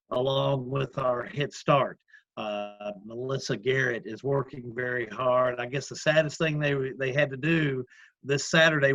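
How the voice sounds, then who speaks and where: A man with a loud voice, an executive director talking earnestly in a casual interview, with many fillers and restarts. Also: medium pitch, dry recording, lo-fi sound. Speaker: community action executive director. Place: at home, remote call.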